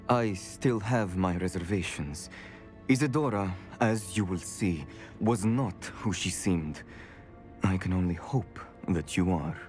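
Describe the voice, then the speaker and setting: Stern, reserved old male professor, video game. Low-pitched, solemn and formal, music underneath. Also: a little mellow. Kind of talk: video game cutscene acting.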